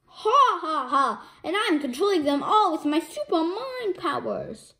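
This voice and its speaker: Woman doing gleeful cyborg fish bad guy from a kids comic, bossy and gloating, swoopy pitch. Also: big pitch swings, a little slow, bright, forceful tone, heavily acted. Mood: triumphant. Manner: gloating, grandiose, commanding. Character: gleeful revived cyborg fish supervillain plotting revenge.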